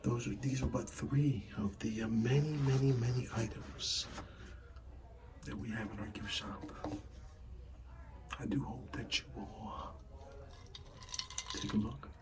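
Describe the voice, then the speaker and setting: A man speaking softly in an ASMR roleplay as an art gallery dealer, pausing mid-phrase and often slipping into a whisper. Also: medium pitch, fairly even intonation, far from the mic, background noise. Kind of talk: soft-spoken ASMR roleplay, partly whispered.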